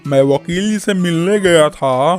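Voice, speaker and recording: A man's voice: a little high, unhurried, faint music behind, close to an everyday voice. A man voicing an earnest, protective middle-aged village uncle in a cartoon story. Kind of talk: Hindi cartoon story dialogue.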